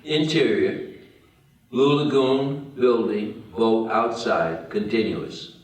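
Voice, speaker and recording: A man's voice: mid-range voice, slow pace. A man narrating the stage directions of a screenplay at a table read. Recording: roomy, distant mic.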